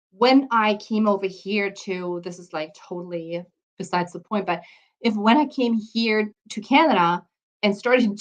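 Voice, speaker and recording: Female health instructor, medium pitch, conversational teaching style with frequent check-ins, somewhat dull recording. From Germany. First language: German. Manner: explanatory, checks in with "okay?" and "right?". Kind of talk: informal lecture to an audience.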